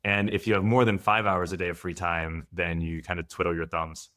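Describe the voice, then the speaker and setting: A man's voice: sits low, flat delivery, a little fast, sharp tone. A man from France, a guest father, giving thoughtful, candid answers in a podcast interview.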